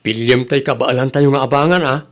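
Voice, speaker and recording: A man's voice: medium pitch, steady tone, fairly quick, lightly acted. A man playing a grumbling but obliging village husband with an Ilocano accent in a comedy drama.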